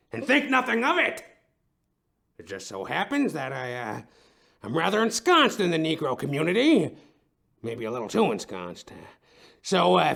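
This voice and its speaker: An actor playing a pompous, blustering older male business tycoon with florid, halting speech in an audio detective comedy. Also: sits high, gravelly, heavily acted. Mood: cocky bluster. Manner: pompous, florid, hesitant pauses, explosive outbursts.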